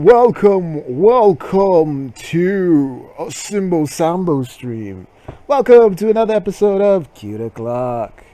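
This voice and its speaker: Male stream host, higher-pitched and very animated, mock-grandiose delivery, slow dramatic pacing, music behind. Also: no echo. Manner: sweary. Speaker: livestream host. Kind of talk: theatrical game-stream commentary.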